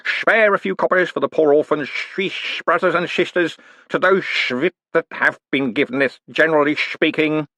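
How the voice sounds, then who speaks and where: A man's voice: on the high side, moderate pace, sharp tone, no echo, pitched above the performer's own voice. A man voicing a sly older male con man who poses as a preacher, wheedling and mock-pious with folksy slang. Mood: preaching.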